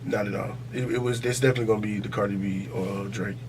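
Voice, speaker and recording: A man's voice: fairly low pitch, steady tone, rapid-fire, background noise. An American man hosting a casual podcast debate, talking in a slangy, profane, insistent and opinionated way. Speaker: podcast host.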